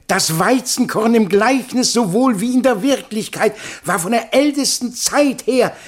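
An actor playing a pompous, long-winded scholar who lectures in florid style in a radio play, with a husky voice. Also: male voice, very high voice, a little slow. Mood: grandiose, solemn. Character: pompous, long-winded male scholar showing off paintings to a prince. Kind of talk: German radio play acting.

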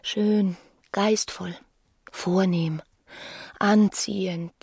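An actress playing a young, restless and disdainful wife in a brooding radio play monologue. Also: female voice, medium pitch, steady tone, drawn-out words, gravelly, darkish tone, breathy edge, no echo, clean studio sound, full character voice. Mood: bitter, brooding.

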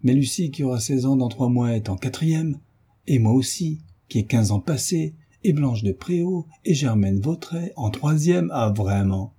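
A man's voice: medium pitch, expressive, moderate pace, dark tone, husky, pitched above the performer's own voice. A man voicing a scornful fifteen-year-old schoolgirl with a sneering, incredulous manner, in a storybook reading. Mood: mocking.

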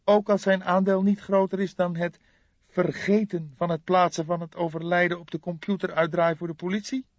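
A man voicing a keen, doubtful young police detective in animated, questioning audiobook dialogue. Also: sits high, moderate pace, pitched above the performer's own voice, close to an everyday voice. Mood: sceptical, surprised. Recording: dull lo-fi, boxy roomy sound.

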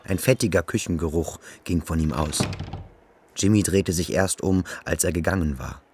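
A man's voice: low-pitched, normal speed, background noise. A man reading literary scripted narration as the narrator of a radio drama.

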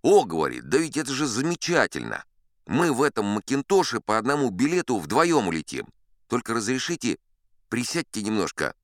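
A man's voice: medium pitch, huge pitch swings, no room sound, clean studio sound, voice pushed up. A man voicing an eager, resourceful male first mate in a storybook reading. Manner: brisk, enthusiastic.

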